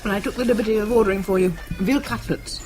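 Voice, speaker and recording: An actress playing a quick-witted, teasing British wife in a radio drama, arch and composed with a British accent. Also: female voice, medium pitch, music in the background, close to an everyday voice, low-quality recording.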